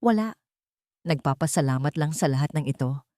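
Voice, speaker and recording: Gentle young wife voiced by a female storyteller, sentimental, sincere, romantic audio story, dry recording. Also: low voice, animated, moderate pace, dry recording. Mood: grateful.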